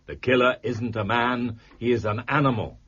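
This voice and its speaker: An actor playing a brilliant, eccentric amateur detective in an audio drama, arch and precise with wry theatrical delivery. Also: male voice, mid-range voice, steady tone, normal speed, fairly bright tone, slightly rough. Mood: commanding, triumphant. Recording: muffled, noisy lo-fi audio.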